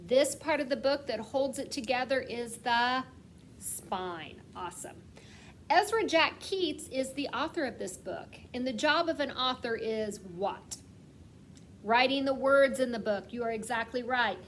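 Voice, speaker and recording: High voiced lady doing a kindergarten read-aloud, asks the kids questions, noticeable background noise. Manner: asks questions and pauses as if children answer; expressive storytelling with slowed, emphasised words. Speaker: kindergarten teacher reading aloud. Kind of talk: picture-book read-aloud for young children.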